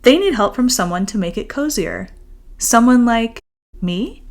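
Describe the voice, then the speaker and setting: A woman's voice: fairly high pitch, animated, measured pace. A woman voicing a timid, reluctant male hedgehog who likes staying at home, in a children's storybook reading. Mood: hesitant. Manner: huffy, reluctant.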